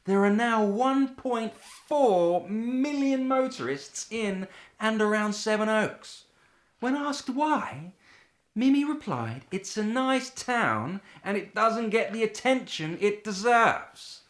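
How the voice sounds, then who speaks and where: A woman's voice: a little high, expressive, slow pace. A woman playing a polite but harried British tech company employee in a radio play, earnest and exasperated in manner. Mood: earnest, worried.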